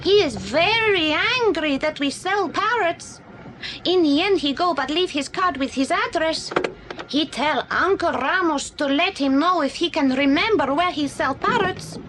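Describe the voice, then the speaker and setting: High, slow-speaking earnest Spanish-accented boy. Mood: regretful. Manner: simple broken English, halting storytelling. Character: earnest young immigrant boy, Spanish-speaking, telling a story. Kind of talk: British audio drama acting. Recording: noisy old audio play recording.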